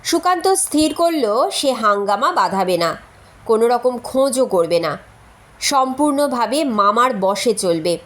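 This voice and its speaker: A woman's voice: on the high side, expressive, unhurried. A woman reading a comic short story aloud as scripted narration.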